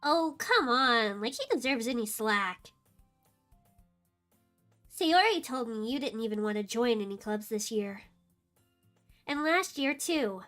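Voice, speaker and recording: A woman voicing a brash, sharp-tongued teenage girl who talks in a snappy, defiant way. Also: high voice. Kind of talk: fan voice acting of a visual novel.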